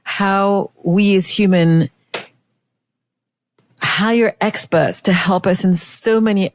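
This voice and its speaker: A woman's voice: mid-range voice, steady tone, slow pace, dry recording. A woman from Europe hosting a podcast interview in a conversational style.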